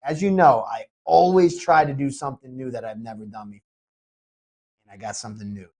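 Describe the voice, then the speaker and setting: A man, an MMA promoter, chatting casually on a podcast, sniffly from a cold and talking in an excitable, rambling way with lots of restarts. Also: medium pitch, fairly quick. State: sniffly, getting over a cold.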